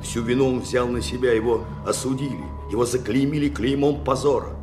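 An actor playing a vengeful executioner in a radio play, grave, deliberate and accusing. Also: male voice, medium pitch, fairly even intonation, natural voice. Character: vengeful executioner, a man avenging his dead brother. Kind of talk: dramatic radio play acting. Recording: radio drama under loud music.

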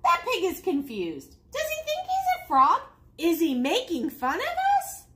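Bewildered pond frogs acted out by a woman in a storybook, indignant and quick to exclaim. The voice sits high and swoops dramatically through huffy questions. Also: wildly swooping pitch, full character voice. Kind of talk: children's storybook read aloud.

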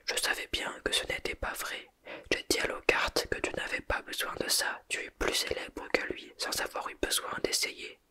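A reader whispering the lines of a gruff, grumbling male half-giant groundskeeper in a storybook. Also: normal speed, pushed and bright, natural voice. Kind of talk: ASMR whispered storybook reading.

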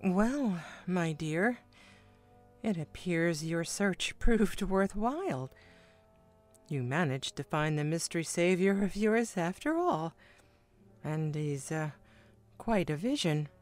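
A woman playing an elderly, deferential royal advisor in an audio drama, formal and halting. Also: fairly low pitch, animated, darkish tone, faint music behind. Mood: polite approval. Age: older.

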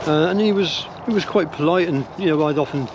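A man's voice: a little high, brisk, noisy background. Older man musing on a woodland walk. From England. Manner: musing, hesitant, many restarts and fillers. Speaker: vlogger. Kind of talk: rambling walk-and-talk opinion vlog. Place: woodland path outdoors while walking dogs. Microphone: phone or camera held close at arm's length.

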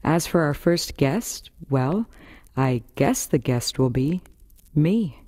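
A woman's voice: low-pitched, expressive, takes it slowly, over loud music. A woman with an American accent, hosting a variety radio show with scripted first-person storytelling. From Florida, United States.

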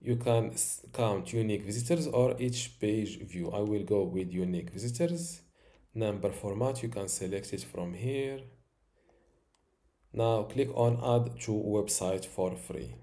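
A man in his thirties walking through a software tutorial step by step, with occasional fillers, recorded at a home desk. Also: on the low side. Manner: slow, step-by-step instructions with fillers. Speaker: tutorial host.

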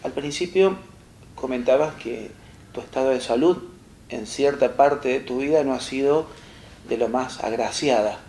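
A young Argentine man hosts an interview, asking gentle follow-up questions and filling in his guest's words. His voice has a gravelly edge at a middling pitch, and it rings in a roomy studio with a faint hiss. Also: unhurried. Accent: Argentine Spanish. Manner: gentle probing questions, prompting and finishing the guest's phrases. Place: small studio set, seated in armchair.

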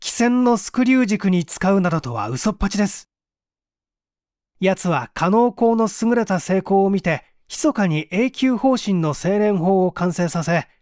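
A man's voice: sits high, big pitch swings, moderate pace, darkish tone, no room sound, studio quality. A man playing a bold, earnest researcher who speaks urgently and persuasively in an audiobook mystery. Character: earnest, bold young male researcher-detective.

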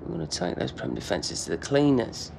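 A man's voice: medium pitch, wildly swooping pitch, a little fast, gravelly, darkish tone, heavily acted. A British man playing a cocky young footballer in a game cutscene, laddish and slangy. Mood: confident. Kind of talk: naturalistic game cutscene acting. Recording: noisy aircraft cabin ambience.

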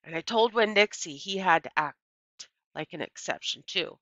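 A middle-aged woman reading a children's novel aloud like a teacher, with expressive, deliberate storytelling and emphasized key words. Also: lowish voice, dry recording. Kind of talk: children's novel read-aloud, scripted narration. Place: small indoor room with bookshelves. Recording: dull, lo-fi computer microphone sound.

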